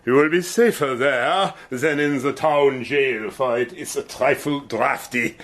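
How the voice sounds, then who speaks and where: A man's voice: mid-range voice, a bit bright, close to an everyday voice. A man playing a gloating German colonel. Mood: menacing. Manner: slow, gloating, theatrical menace. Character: menacing German army colonel, cruel and mocking. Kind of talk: radio play acting.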